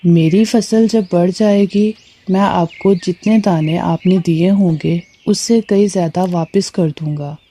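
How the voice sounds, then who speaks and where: A woman's voice: fairly low pitch, a little slow, warm tone. A woman playing a helpful, cautious male bird in a moral story cartoon, speaking earnestly. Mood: polite, pleading.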